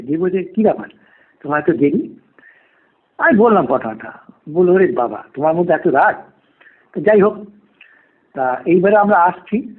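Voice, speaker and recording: A man's voice: high-pitched, breathy edge. A man, telling a ghost story in the first person over a lo-fi call recording. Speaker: podcast guest, private tutor. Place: remote call to a podcast. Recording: dull, lo-fi phone call recording.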